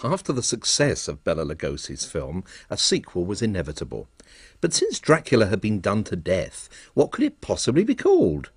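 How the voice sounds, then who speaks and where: A man narrating a radio arts documentary in a wry, measured storytelling manner. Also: fairly low pitch, huge pitch swings, breathy edge, no echo, clean studio sound.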